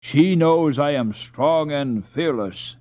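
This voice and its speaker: A man voicing a rough, burly, lovestruck boy in a storybook, cocky and boastful. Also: a little high, slow pace, mellow, no room sound, pitched above the performer's own voice. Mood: smug.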